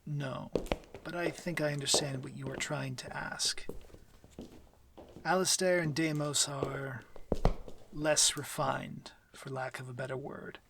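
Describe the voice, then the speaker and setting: A man playing a soft-spoken, commanding vampire lord. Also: medium pitch, fairly even intonation, background noise. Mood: composed. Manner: formal, measured, softly breathy, often near a whisper. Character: courteous, commanding male vampire lord of a manor. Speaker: audio roleplay voice actor. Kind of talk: slow, intimate ASMR fantasy roleplay.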